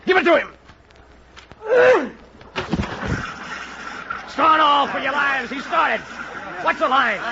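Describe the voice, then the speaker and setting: An actor playing a commanding, urgent and fierce first mate of a whaling ship in an old radio drama. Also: male voice, very high-pitched, gravelly. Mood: exultant. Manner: bellowing drawn-out orders. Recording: dull old radio recording, crowd and noise.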